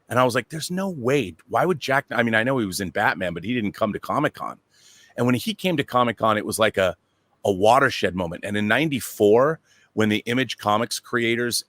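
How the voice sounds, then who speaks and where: A man's voice: lowish voice, a bit bright, no room sound. A middle-aged man talking casually as a panel guest, telling detailed stories with enthusiasm. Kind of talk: casual anecdotal talk.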